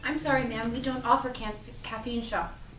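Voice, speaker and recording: A woman's voice: a little high, steady tone, moderate pace, fairly bright tone, distant mic, natural voice. A woman playing a polite, uneasy young shop worker in an audio drama table read, courteous and hesitant. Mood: polite, firm. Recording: echoey room, off-mic, music behind.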